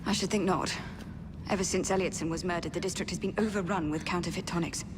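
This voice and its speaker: A woman playing a brisk Victorian nurse. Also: lowish voice, steady tone, noisy background, natural voice. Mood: urgent. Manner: brisk, proper, businesslike. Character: composed Victorian nurse, middle-aged woman. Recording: game cutscene with music and long reverb.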